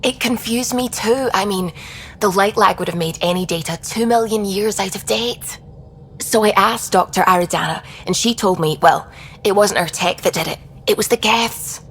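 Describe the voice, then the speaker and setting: A woman playing a thoughtful, devout scientist on a space expedition, explaining things in a measured, reflective way in video game dialogue. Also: mid-range voice, a bit bright, slight background noise, natural voice. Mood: explanatory. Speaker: science officer character.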